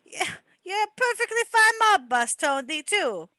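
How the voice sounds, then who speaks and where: Woman doing a rambly mobster sidekick guy, eager to please, high sharp voice, bouncy, radio comedy skit. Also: big pitch swings, a little slow, dry recording, heavily acted. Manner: rambling, hesitant, placating wiseguy patter. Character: talkative, deferential male mobster sidekick. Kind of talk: amateur old-time radio comedy play.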